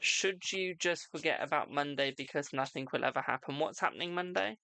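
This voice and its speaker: A British tarot reader hosting a live stream, chatting casually and answering viewers' questions with a brisk "my darling". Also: mid-range voice, gravelly, pushed and bright, dry recording. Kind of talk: casual live-stream chat and card readings.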